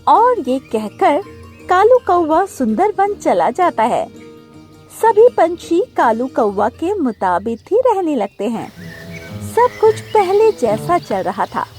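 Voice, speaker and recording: A woman's voice: high-pitched, very animated, slow, a little mellow, faint music behind. A woman narrating a scripted children's cartoon story.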